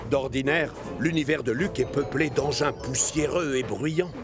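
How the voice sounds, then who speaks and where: A man narrating a children's cartoon story in an expressive storytelling manner. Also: mid-range voice, a little slow, music in the background.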